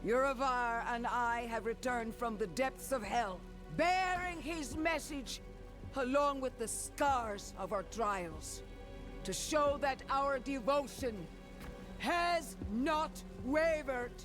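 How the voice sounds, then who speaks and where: An actress playing a stern, pious high priestess in a fantasy game, speaking with oratorical authority. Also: female voice, expressive, slow pace. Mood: solemn proclamation. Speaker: religious leader. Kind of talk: cinematic fantasy game acting. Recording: music behind.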